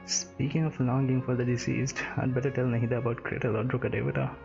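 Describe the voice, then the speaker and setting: A man's voice: mid-range voice, level tone, quick pace, gravelly, muffled recording. A man streaming a video game, giving casual commentary in offhand, murmured asides. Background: game music.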